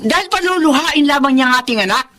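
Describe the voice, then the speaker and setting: A woman's voice: high-pitched, animated, quick pace, fairly bright tone. Swooping, bossy Filipino mother. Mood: angry, insistent. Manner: emphatic, scolding, insistent. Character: stubborn middle-aged mother and fortune-teller, bossy. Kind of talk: Filipino radio drama acting.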